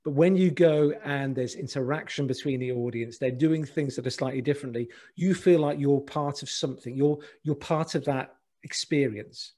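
A man's voice: mid-range voice, moderate pace. A middle-aged man, a presentation agency head, talking animatedly on a video call from a home office, often restarting his sentences. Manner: animated, gesturing, many restarts and repeated words. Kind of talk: conversational podcast interview. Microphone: video call webcam.

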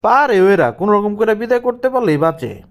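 Cartoon casting: man as tight-fisted bossy husband, high male voice, sarcastic and dismissive. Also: big pitch swings, brisk. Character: stingy, scornful, domineering husband. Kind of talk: cartoon family drama dialogue.

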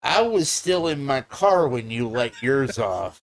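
A man's voice: mid-range voice, big pitch swings, slow. Dry, self-mocking man co-hosting. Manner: dry, self-deprecating, stumbling restarts. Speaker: co-host. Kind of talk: casual livestream chat. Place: living room on a couch.

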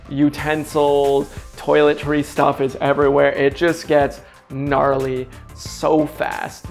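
A man's voice: on the high side, gravelly. A young man in his twenties from Canada, chatting upbeat to camera in a casual travel vlog, telling stories with lots of fillers, recorded on a lapel mic in a kitchen. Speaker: travel vlogger. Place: indoors in a bright kitchen.

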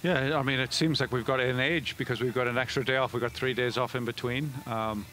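Middle-aged male coach, post-game, rapid delivery, headset mic, mid-range voice. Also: medium pitch, steady tone, pushed and bright. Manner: fast, with frequent restarts and fillers. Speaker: head soccer coach. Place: indoor media room before a backdrop.

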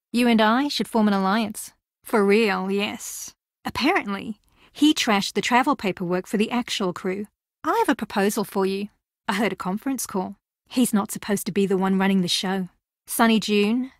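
A woman's voice: mid-range voice, normal speed, no room sound, clean studio sound, natural voice. A woman voicing a scheming, theatrical young female reality-show contestant in a video game, sassy, boastful and combative. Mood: conspiratorial.

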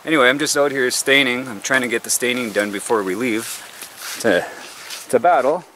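A man's voice: medium pitch, normal speed, sharp tone, slight background noise, rough recording. Easygoing man rambling outdoors. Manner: rambling, easygoing, talks while doing yard chores. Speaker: vlogger. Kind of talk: casual chat. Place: backyard outdoors.

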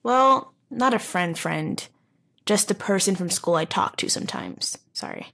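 A woman playing a wounded, wry, guarded teenage runaway in a halting, confessional audio drama monologue. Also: medium pitch, lively intonation, vocal fry, bright, forceful tone, heavily acted. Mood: hurt, uneasy. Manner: halting, conversational, with pauses and wry asides. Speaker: narrator of audio horror story. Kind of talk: first-person dramatic monologue, confessional storytelling.

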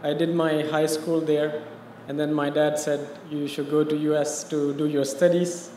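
A man from India answers questions from a podium in a conversational, explanatory way, speaking a little above the usual male pitch. The sound carries through a large hall with a lingering reverb and faint background hum. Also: little pitch movement, moderate pace, warm tone. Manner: conversational, explanatory, uses "you know". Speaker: guest interviewee. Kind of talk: personal story in a live interview. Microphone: podium mic.

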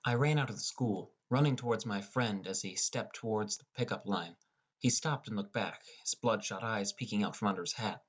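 A young man reading a scripted first-person audiobook as a depressed high school boy. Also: moderate pace, husky. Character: depressed, irritable high school boy telling his story. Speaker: audiobook narrator.

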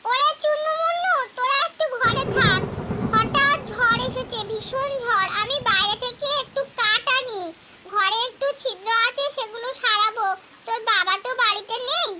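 A woman's voice: extremely high pitch, moderate pace, noisy background. A woman voicing an anxious, tender mother bird in a cartoon, pleading and plaintive. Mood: worried. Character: anxious mother bird, tender with her chicks, helpless in a storm. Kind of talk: cartoon voice acting.

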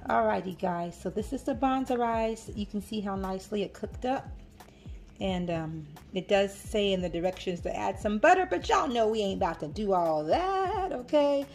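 A woman's voice: medium pitch, big pitch swings, normal speed, a little mellow, soft background music. A woman in her thirties hosting a casual home cooking video, chatty and upbeat, talking straight to viewers in her kitchen. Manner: upbeat, chatty, talks to viewers as "you guys". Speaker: cooking vlogger, host. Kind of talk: casual cooking demo chat.

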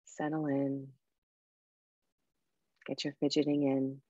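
Woman leading meditation, very even low-key tone. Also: dry recording, lo-fi sound. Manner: soft, unhurried, soothing delivery with pauses. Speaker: meditation guide and coach. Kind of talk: guided meditation with breathing instruction.

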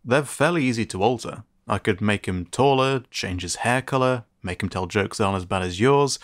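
A man's voice: on the low side, fairly quick, lightly acted. An actor playing a friendly, teasing sci-fi repairman in an ASMR roleplay, with a casual, joking manner.

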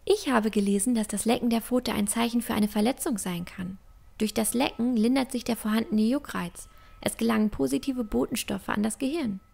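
A bold young girl explorer, acted by a woman in a fantasy audio play. Her voice sits fairly high with a noticeable creak, and she sounds earnest, bookish and matter-of-fact. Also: a little fast, darkish tone. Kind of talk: German fantasy audio drama acting.

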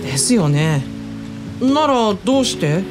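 A woman's voice: medium pitch, very animated, slow pace, over music. A woman playing a polite, earnest and hesitant younger colleague in an audio drama. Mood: curious, surprised. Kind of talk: Japanese audio drama dialogue.

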